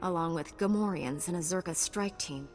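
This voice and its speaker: A woman's voice: lowish voice, moderate pace, big-room sound, lightly acted. A woman playing a composed, direct heroic knight in a video game. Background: music.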